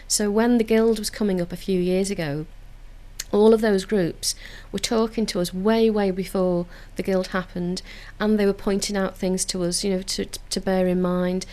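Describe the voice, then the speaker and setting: A woman's voice: medium pitch, fairly even intonation, normal speed, warm tone. Liverpudlian woman, earnest and upbeat. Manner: earnest, enthusiastic, with frequent filler restarts. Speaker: council community engagement officer. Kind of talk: radio interview. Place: radio studio.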